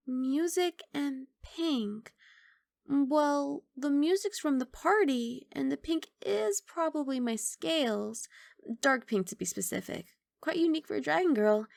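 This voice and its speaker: A woman playing a young, sweet, apologetic giant dragon girl in an intimate ASMR roleplay, soft and coaxing with hesitant rambling. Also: high-pitched, a little slow, gravelly, dry recording. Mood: friendly explaining. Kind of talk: ASMR roleplay, intimate one-sided dialogue.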